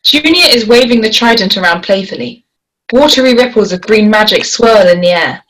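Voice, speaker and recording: A woman reading the stage directions at a script table read in a plain, measured way. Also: mid-range voice, lively intonation, a bit bright, rough recording.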